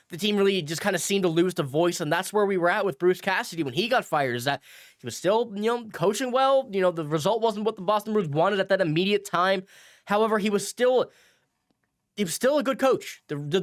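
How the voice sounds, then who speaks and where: A man's voice: a little high, a bit bright, no echo, clean studio sound. A young man from Newfoundland with a stuffy cold, rambling through sports news commentary with many restarts. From Newfoundland, Canada. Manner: rambling, restarts often with fillers. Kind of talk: casual commentary.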